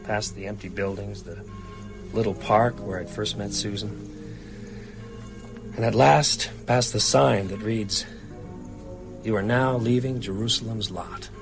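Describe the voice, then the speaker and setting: A low-pitched, sombre man recounts horror in a radio drama. He is measured and weary, with music clearly behind him. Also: fairly even intonation, poor audio. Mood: haunted. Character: haunted, weary male novelist recounting vampire horror. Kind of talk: dramatic first-person narration.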